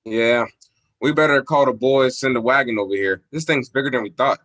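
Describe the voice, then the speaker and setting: A man's voice: medium pitch, brisk, fairly bright tone, lightly acted. A man playing a brash, slangy policeman in an old-time radio drama. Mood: excited.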